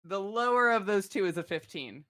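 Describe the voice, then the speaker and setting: A woman with a mid-pitched voice plays a tabletop game. She talks casually and bluntly, often asking questions. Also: expressive, moderate pace, fairly bright tone.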